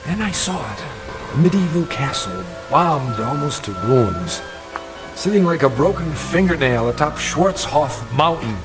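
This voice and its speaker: An actor playing a haunted older gentleman in a radio drama, recounting his youth in formal, precise, insistent words. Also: fairly high pitch, lively intonation, a little slow, poor audio. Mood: reflective, intense. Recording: music under the voice. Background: dramatic music.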